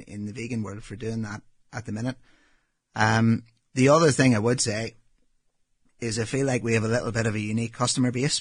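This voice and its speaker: A Northern Irish man in his thirties, a restaurant owner answering interview questions in a relaxed, matter-of-fact way with frequent ums. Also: lowish voice, slightly rough, no echo. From Northern Ireland.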